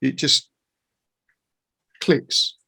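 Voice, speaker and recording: A man's voice: extremely slow, bright, forceful tone. A middle-aged man hosting a casual chat, musing informally and circling round his ideas. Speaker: interviewer, guitar teacher.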